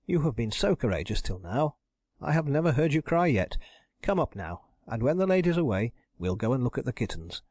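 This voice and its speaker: A cheerful, kindly butler, as a man acts him, encouraging and warm in his manner. The voice swings widely in pitch, sounds husky, and is recorded dry and close. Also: big pitch swings, fairly quick, no room sound.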